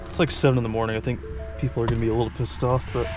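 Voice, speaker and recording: A man's voice: medium pitch, level tone, racing, over music. A man talking casually to the camera as a vlogger, laid-back and swearing now and then, his nose stuffy from allergies. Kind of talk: casual vlog talk to camera.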